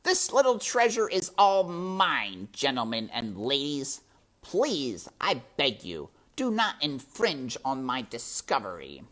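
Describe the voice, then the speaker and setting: A woman voicing a villainous male rat, gloating and theatrically courteous, savouring drawn-out words. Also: rumbling low, wildly swooping pitch, fairly bright tone, voice pushed up, heavily acted. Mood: possessive.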